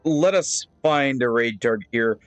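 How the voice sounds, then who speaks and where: A man streaming a game live, thinking aloud with pauses and fillers and chatting to his viewers. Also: medium pitch, soft background music. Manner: friendly sign-off to viewers. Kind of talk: live gameplay commentary.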